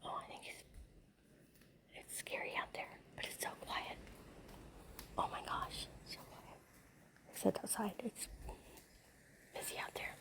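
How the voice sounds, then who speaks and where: A woman talking casually in a breathy whisper, murmuring in wonder and repeating herself, recorded indoors at home. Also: unhurried, long pauses. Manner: marveling, repetitive murmuring. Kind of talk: hushed casual self-recorded chat.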